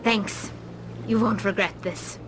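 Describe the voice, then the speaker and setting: A woman's voice: mid-range voice, steady tone, takes it slowly, over music, close to an everyday voice, rough recording. A woman voicing an earnest young alien pilgrim who explains things seriously in a space opera video game. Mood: earnest, determined.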